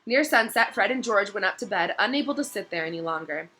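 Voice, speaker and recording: Woman, storybook narrator, expressive read-aloud, middle pitch, bright forceful tone. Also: quick pace.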